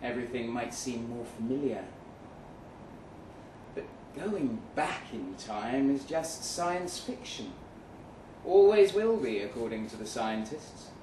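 Slow, deliberate male storyteller in a roomy space. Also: mid-range voice, expressive, mellow. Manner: deliberate, measured with dramatic pauses. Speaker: short story reader at a live event. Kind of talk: dramatic first-person literary reading. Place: event room in a pub. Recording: roomy, distant mic with faint noise.

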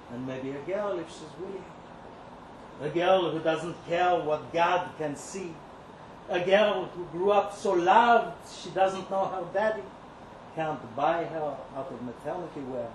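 A man performing a dramatic first-person story as a bitter, lovesick young kibbutz kitchen worker, brooding and sardonic, with dramatic pauses. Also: on the high side. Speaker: reader at a live literary event. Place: indoor live reading venue. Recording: roomy live event recording, clear background noise.

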